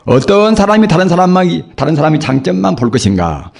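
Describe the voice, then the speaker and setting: An older man, a Buddhist monk, giving a sermon in a hoarse voice from a cold, preaching slowly and earnestly with rhetorical questions. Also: on the high side, sing-song, darkish tone. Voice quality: gravelly, husky. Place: temple dharma hall.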